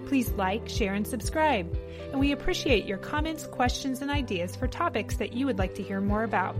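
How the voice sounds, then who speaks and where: Woman, podcast host, slightly high voice, scripted intro delivery, music underneath. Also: animated, moderate pace. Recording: music behind the voice.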